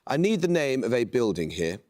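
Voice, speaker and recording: A man hosting a quiz show, reading questions and introducing teams in a measured, precise way. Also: mid-range voice, big pitch swings, darkish tone. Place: TV studio.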